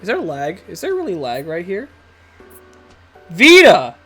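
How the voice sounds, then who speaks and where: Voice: mid-range voice, wildly swooping pitch, unhurried, a bit bright. Streamer, getting over a cold, nasal; loose chatty delivery, faint background music. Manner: rambling, chatty, laughs often, talks to the chat. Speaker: Twitch streamer playing a video game. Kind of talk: casual live-stream chat with viewers.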